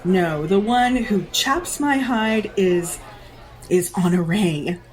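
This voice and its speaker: A woman's voice: mid-range voice, takes it slowly, a faint crowd, natural voice, rough recording. An actress playing a jaded, predatory society woman in an audio drama, haughty and teasing with a theatrical drawl. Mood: teasing, menacing.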